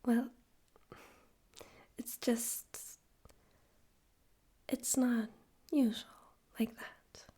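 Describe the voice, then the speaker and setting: Smug radio demon overlord played by a woman, ASMR roleplay. Mellow breathy whisper, higher-pitched, teasing and condescending. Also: little pitch movement, exaggerated voice. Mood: taunting, curious. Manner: slow, teasing, theatrical, condescending. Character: smug male radio demon overlord, politely menacing and amused.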